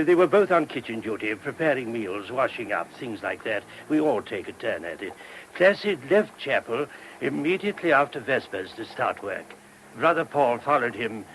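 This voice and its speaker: A man's voice: mid-range voice, fairly bright tone. A man playing an anxious, courteous monk in a radio play, hesitant and apologetic in manner. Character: anxious, courteous monk guiding a police inspector. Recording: noisy old radio recording.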